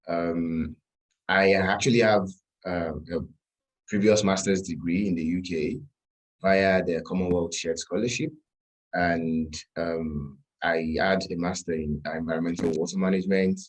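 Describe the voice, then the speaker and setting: A young man from Nigeria speaks as a panel guest over a video call. His voice is very low and husky. He talks with many hesitant "um"s. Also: rough recording.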